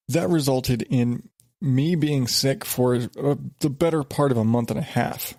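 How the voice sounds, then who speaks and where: A man's voice: mid-range voice, warm tone, dry recording, studio quality. A man hosting his own podcast, stuffed up from a sinus infection and talking in an exasperated, rambling way with many restarts. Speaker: podcast host. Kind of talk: casual solo podcast.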